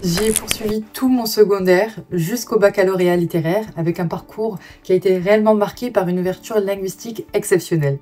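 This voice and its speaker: A woman's voice: mid-range voice, a little fast, few pauses, mellow. A young adult woman, a former student, speaking formally and articulately. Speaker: former student giving a testimonial.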